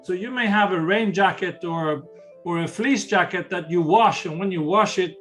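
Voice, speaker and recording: A man's voice: high voice, a bit bright. An older man with a Swedish accent, earnestly explaining ocean conservation in an interview and often restarting phrases. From Sweden. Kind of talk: interview answers.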